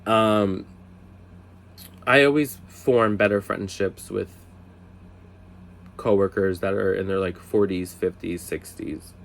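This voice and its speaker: A man in his twenties telling a casual story, chatty, swearing, with lots of "like" fillers and high-voiced impressions of other people. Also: steady tone, takes it slowly, gravelly, long pauses, slight background noise. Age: 20s. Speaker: storyteller vlogger.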